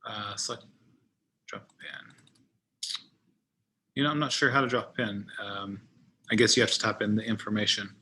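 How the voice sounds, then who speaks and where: Bright-voiced man giving a software walkthrough. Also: on the low side, normal speed, pauses often, slight background noise. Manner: thinks aloud while demonstrating. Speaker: trainer giving a software tutorial. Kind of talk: informal screen-share walkthrough.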